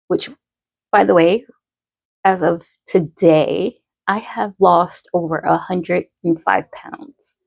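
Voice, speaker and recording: A woman in her thirties, a poet and podcaster, talking casually to her followers in a slow, rambling way full of fillers and restarts. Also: fairly low pitch, creaky, a little mellow, no room sound, studio quality. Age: 30s.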